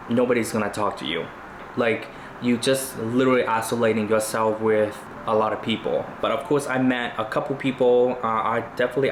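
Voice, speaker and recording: A young man from Vietnam, speaking English with a Vietnamese accent, chatting casually on his vlog with lots of "you know" fillers. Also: medium pitch. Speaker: YouTuber. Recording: echoey room with faint noise.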